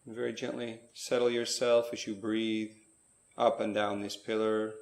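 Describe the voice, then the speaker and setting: A man with a low voice leads a guided meditation in a very flat, even tone. He speaks in measured short phrases, leaving pauses between them. The sound is roomy, with clear echo around the voice. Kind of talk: slow guided spiritual meditation.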